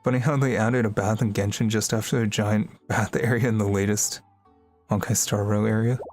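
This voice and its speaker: A man's voice: lowish voice, fairly even intonation, fairly quick, darkish tone. A man livestreaming a video game, chatting casually and musing aloud in a laid-back way. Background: game music.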